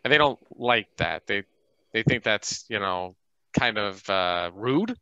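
Bright, clear man running game rules. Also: on the low side, lively intonation, unhurried, no room sound. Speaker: game facilitator explaining rules. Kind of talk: casual tabletop game talk. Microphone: group video call. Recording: dull band-limited online call.

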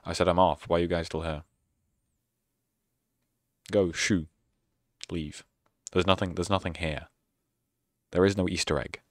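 A man's voice: low-pitched, steady tone, measured pace, pauses often, no room sound. A young man streamer closing out his stream with casual, rambling, self-mocking sarcastic chatter into a close microphone. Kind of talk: casual end-of-stream chat.

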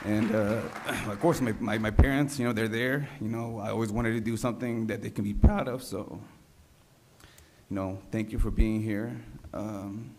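Halting man with a low, flat voice. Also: some chatter behind, a little hiss or hum. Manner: halting, unpolished, repeats himself. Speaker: city council member. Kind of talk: informal acceptance speech at a public meeting. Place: large council chamber, indoors. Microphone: gooseneck desk microphone.

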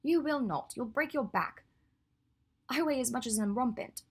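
A woman reading the part of an earnest, clever young witch, her voice a little raised and husky. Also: medium pitch, fairly quick. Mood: sulky. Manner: earnest, then sulky and teasing.

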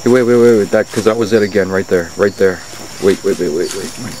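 A man's voice: sits low, a little fast, close to an everyday voice. A man playing a jittery, frightened witness who frantically repeats himself in found-footage horror. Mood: startled, urgent. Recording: noisy outdoor camcorder audio.

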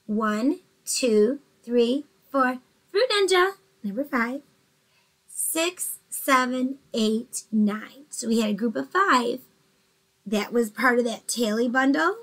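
Woman, forties, fairly high voice, gentle, encouraging, early-grades teacher, home bedroom, webcam. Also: lively intonation, takes it slowly, low-quality recording. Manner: gentle, encouraging, asks children questions. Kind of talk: early-grades math lesson to children.

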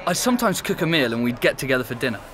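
A man's voice: medium pitch, sing-song, rapid-fire, noisy background, close to an everyday voice. An actor playing a sociable young man who talks openly about an old housemate. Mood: casual. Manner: open, conversational, reflective. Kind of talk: audio drama acting.

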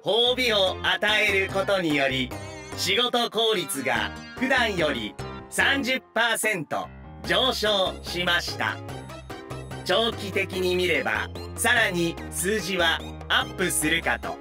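A courteous clockwork android servant for an anime drama. It speaks very slowly, with stiff mechanical phrasing and pronounced swings in pitch over clear background music. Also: male voice, sing-song, exaggerated voice. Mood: flatly reporting. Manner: stilted, mechanical, syllable-by-syllable politeness. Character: polite karakuri robot double of a stern retainer.

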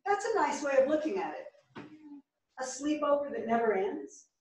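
An actress plays an older woman of literary, thoughtful temper in a stage drama. Her voice sits slightly high and is heard from a distance, with heavy theatre echo. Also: lively intonation, moderate pace, darkish tone. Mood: musing. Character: bookish, thoughtful older woman who loves classics. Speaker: actor in a staged reading. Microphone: distant camera. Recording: very echoey theatre, distant microphone.

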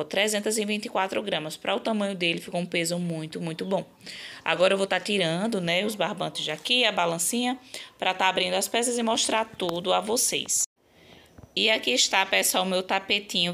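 A slightly hoarse woman with a Brazilian accent, explaining her craft work in a chatty, casual vlog style. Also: medium pitch, moderate pace, fairly bright tone. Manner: chatty, explanatory, frequent check-in tags. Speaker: crochet channel host.

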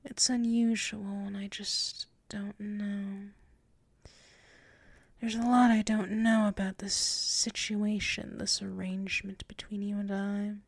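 A woman's voice: medium pitch, no echo. A woman playing a submissive yet proud tsundere werewolf in an intimate ASMR roleplay, murmuring hesitantly and often slipping into whispers. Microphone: close mic.

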